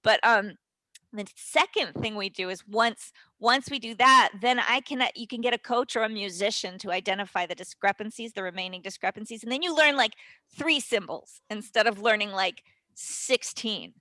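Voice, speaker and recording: A woman, a voice and dialect coach, explaining her method as a guest on a webinar, in animated, rapid talk with quick asides. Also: medium pitch, no room sound, poor audio. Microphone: webinar call mic.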